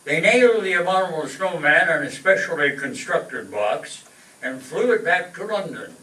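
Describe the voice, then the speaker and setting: A man's voice: fairly high pitch, expressive, moderate pace, pushed and bright. A man acting as announcer, reading scripted radio show narration on stage. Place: live stage show in a room. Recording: roomy distant stage recording with noise.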